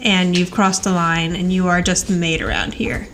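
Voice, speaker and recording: A woman's voice: lowish voice, steady tone, moderate pace, slight background noise. A woman co-hosting a podcast, casually and chattily recapping television. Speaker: podcast co-host.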